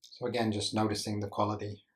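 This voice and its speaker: Low, even-toned male meditation guide. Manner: gentle instructional delivery with deliberate pauses. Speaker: meditation teacher.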